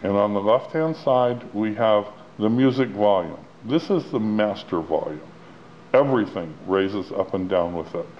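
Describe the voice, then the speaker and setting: A man's voice: on the low side, measured pace. A man giving a plain-spoken, step-by-step instructional walkthrough of a product as its demonstrator. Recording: dull lo-fi sound with clear background noise.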